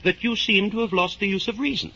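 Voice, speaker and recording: An actor playing a learned parish priest, earnest and fretful, in an old radio drama. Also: male voice, high voice, fast, pushed and bright, heavily acted. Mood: concerned. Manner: earnest, alarmed, reproving. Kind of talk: 1950s radio drama acting. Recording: dull vintage radio recording with music.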